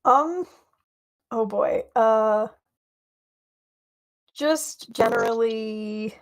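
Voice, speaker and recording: A woman's voice: a little high, very slow. A young woman answers interview questions in a loose, casual way. She hesitates and rambles, dropping in playful remarks between ums. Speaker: interview guest, roleplay player.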